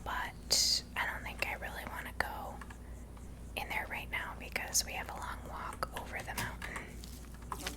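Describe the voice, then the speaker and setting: Whispering woman, ASMR game host. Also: a little slow. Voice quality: soft breathy whisper. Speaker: host playing a video game. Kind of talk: whispered ASMR gameplay commentary.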